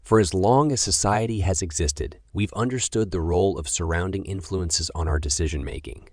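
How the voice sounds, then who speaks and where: A man's voice: deep voice, normal speed, a little mellow, no room sound, clean studio sound. A man reading scripted passages aloud as narration. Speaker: reader of practice passages.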